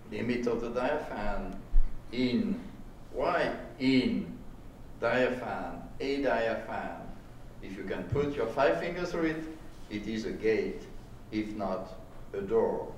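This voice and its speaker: A man giving a live literary recitation, phrased deliberately in short, halting fragments. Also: on the low side, a little mellow. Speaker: performer reading at a book launch. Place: indoor launch performance, live event. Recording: very echoey room, distant mic, faint noise.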